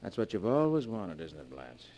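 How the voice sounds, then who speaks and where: An actor playing a cold, bitter husband scheming to be free, in melodramatic 1940s radio horror style. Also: male voice, low-pitched, huge pitch swings, normal speed, dark tone, exaggerated voice. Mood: feigned calm. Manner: melodramatic, emphatic. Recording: noisy old 1940s radio recording.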